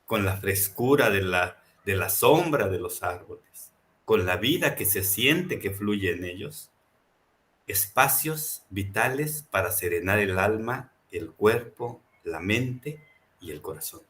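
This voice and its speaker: A man from Mexico speaks earnestly and with passion, stacking phrase upon phrase as he presents a book. His voice is bright and forward. He seems to be talking over a video call. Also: lowish voice, expressive, takes it slowly. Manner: earnest, impassioned, rhetorical repetition. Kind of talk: reflective book presentation talk.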